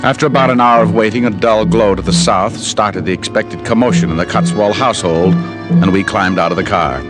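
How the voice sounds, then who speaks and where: An actor playing a wry, hardboiled American private detective, narrating and wisecracking in an old radio drama. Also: male voice, lowish voice, a little fast, over loud music, natural voice. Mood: tense storytelling. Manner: dry, wisecracking.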